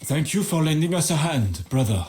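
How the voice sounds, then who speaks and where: An actor playing a devout, gallant knight in a video game, courteous and given to breezy understatement. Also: male voice, on the high side, very animated, moderate pace, darkish tone. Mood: grateful, gallant. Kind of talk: dramatic video game cutscene acting.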